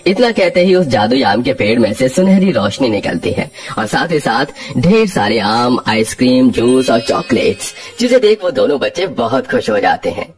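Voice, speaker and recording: A man's voice: medium pitch, sing-song, normal speed, hardly any pauses, soft background music. A man narrating a scripted children's story.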